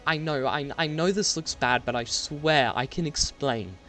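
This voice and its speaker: A man playing a frightened, guilt-ridden woman in her late twenties, halting and pleading, in an amateur radio play. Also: fairly high pitch, pushed and bright, soft background music, voice pushed up. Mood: anxious, pleading.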